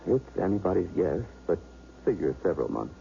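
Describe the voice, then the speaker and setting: A blunt deep-voiced doctor. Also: male voice, sing-song, creaky, warm tone, exaggerated voice. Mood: matter-of-fact. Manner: measured, matter-of-fact. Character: blunt calm hospital doctor, man. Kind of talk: 1970s radio drama acting. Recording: dull old radio recording with faint hiss.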